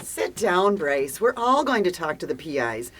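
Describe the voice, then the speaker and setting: A blunt, low-voiced, combative colleague. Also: female voice, very animated, normal speed, fairly bright tone, husky, heavily acted. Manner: blunt, challenging. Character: blunt, combative female radio station colleague. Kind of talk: audio theater acting.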